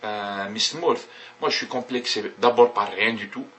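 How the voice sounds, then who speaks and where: A man's voice: fairly low pitch, normal speed, a bit bright, slight background noise. A man with Kabyle as his first language, talking casually to a webcam in a rambling way, with many hesitations and restarts. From Kabylie, Algeria. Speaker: vlogger. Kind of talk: casual webcam talk to viewers.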